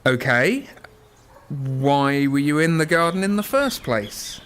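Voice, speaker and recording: A man's voice: on the high side, sing-song, slow pace, fairly bright tone, a little hiss or hum, full character voice. A man playing a stern, sarcastic, exasperated policeman in a radio drama. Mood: stern questioning.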